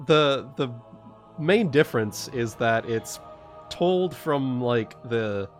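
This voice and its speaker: A man chatting casually as a livestream host, explaining things in a halting, stop-and-start way. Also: mid-range voice, expressive, soft background music. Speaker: livestream host and game master.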